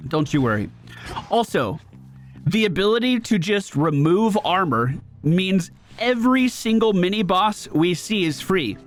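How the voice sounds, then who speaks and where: A man's voice: high voice, sing-song, a little slow, soft background music. A man giving casual video game commentary, playfully thinking aloud and repeating his phrases. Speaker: gaming video host.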